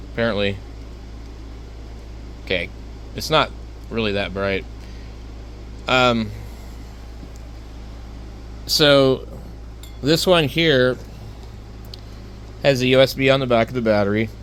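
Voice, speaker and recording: Rambling man over clear background noise. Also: medium pitch, fairly bright tone. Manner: rambling, halting, plainspoken. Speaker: hobbyist product reviewer. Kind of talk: unscripted, casual radio gear review.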